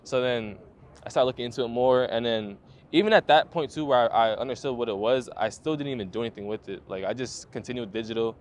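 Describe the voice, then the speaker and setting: Fast-talking young man, slangy and loose. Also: mid-range voice, a little hiss or hum. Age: 20s. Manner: laid-back, loose slangy talk with frequent fillers and swearing. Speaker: photographer guest. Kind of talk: casual interview storytelling.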